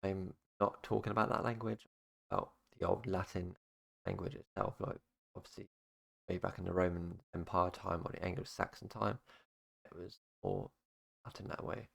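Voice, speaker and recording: A young man with a very low, gravelly voice. He talks loosely to the camera, looping through "obviously" and "basically" as he goes. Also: level tone, moderate pace, a bit bright. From United Kingdom. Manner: rambling, full of fillers like "obviously" and "basically". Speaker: YouTuber. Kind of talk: casual explainer monologue.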